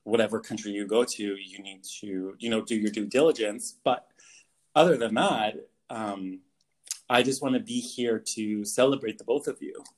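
A young Canadian man, a photographer, answers interview questions in a casual, earnest way, halting often with fillers and restarts. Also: fairly low pitch. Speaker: guest photographer.

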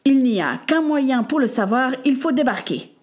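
A woman voicing a kind, fatherly ship's carpenter who speaks gently and calmly. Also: high-pitched, moderate pace, voice pushed up. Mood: gentle.

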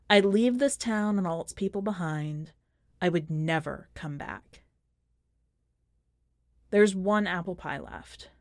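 A woman reading a first-person short story in a measured, expressive audiobook style. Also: lowish voice, no echo, clean studio sound. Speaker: podcast host and fiction reader.

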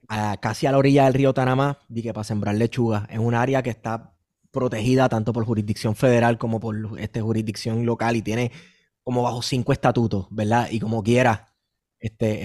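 A young man with a Puerto Rican accent hosting a casual podcast, chatty and relaxed, with many fillers. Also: mid-range voice. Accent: Puerto Rican Spanish. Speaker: podcast host.

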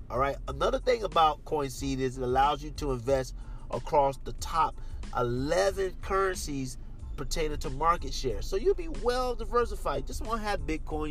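A young man hosting a podcast, reading sponsor spots with energetic hype and chatting casually with his guest. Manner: energetic, hype-driven pitch with repeated "all right". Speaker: podcast host. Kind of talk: casual podcast ad reads and interview.

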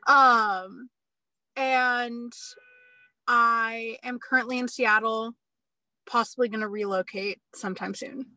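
Kobieta o nieco wyższym, jasnym i napiętym głosie przedstawia się z wahaniem, często zawieszając się na pauzach. Nagranie brzmi sucho i blisko. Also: female voice, a little high, steady tone, takes it slowly, pauses often, bright, forceful tone, no room sound, poor audio. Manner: hesitant, many ums. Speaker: theater company team member. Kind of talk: interview self-introduction.

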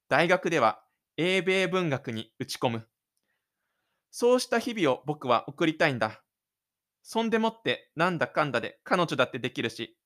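Man as a lonely introspective teenage schoolboy, highish voice. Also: a bit bright. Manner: introspective monologue. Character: shy, stubborn, lonely teenage schoolboy musing to himself.

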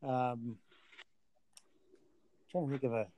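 A man's voice: mid-range voice, fairly even intonation, takes it slowly, warm tone, dry recording. A man hosting a casual podcast chat with a friend, tired after a long day and rambling with many fillers.

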